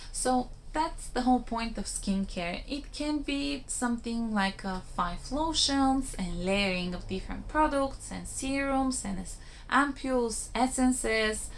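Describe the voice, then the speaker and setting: A woman's voice: medium pitch, a little hiss or hum. A woman with a Bulgarian accent giving plain-spoken, informal skincare-style advice in a casual explanatory vlog.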